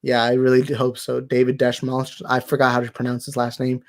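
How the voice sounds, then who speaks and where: A young man hosting a movie talk livestream, tired and slightly raspy, rambling and thanking his viewers. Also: mid-range voice, completely flat delivery, fairly quick. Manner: rambling, grateful, repeats himself. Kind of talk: casual livestream sign-off chat.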